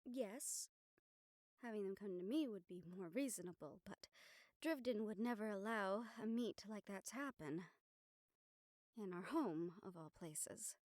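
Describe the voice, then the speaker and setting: A woman playing an affectionate, scheming young noblewoman in an intimate audio roleplay, speaking formally and measuredly with thoughtful pauses. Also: mid-range voice, darkish tone, dry recording, clean studio sound, natural voice. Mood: wistful, pensive. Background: background music.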